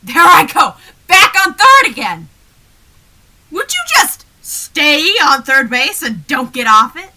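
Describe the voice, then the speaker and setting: Exasperated comic stooge, played by a young woman, insistent questioner, very lively swooping delivery, piercing bright tone. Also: high-pitched, slow, full character voice, rough recording. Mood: exasperated, loud. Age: young adult. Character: exasperated, confused man in a comedy double act. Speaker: student radio play performer. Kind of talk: comic double-act stage patter.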